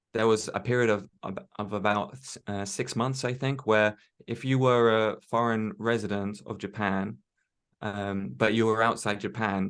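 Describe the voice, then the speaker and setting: Low, flat-voiced man on a call. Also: clear, resonant tone. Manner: hesitant, many fillers and restarts. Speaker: interview guest. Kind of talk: casual interview chat. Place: home in Tokyo.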